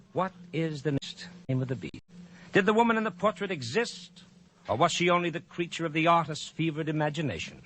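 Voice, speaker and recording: A man's voice: mid-range voice, a bit bright, rough recording. A man narrating a 1940s radio drama with a brooding, ominous delivery. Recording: old radio broadcast recording.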